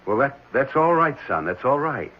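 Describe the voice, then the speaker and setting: A man playing a gentle, reassuring middle-aged adoptive father in radio drama, patient and faintly uneasy. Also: medium pitch, sing-song, normal speed. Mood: concerned. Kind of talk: 1970s radio drama acting. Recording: muffled, noisy 1970s radio recording.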